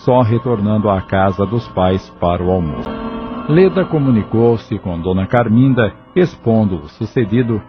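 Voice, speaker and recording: A man's voice: lowish voice, animated, moderate pace, music in the background. A man reading scripted narration for a dramatised audio novel. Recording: dull lo-fi audio novel recording.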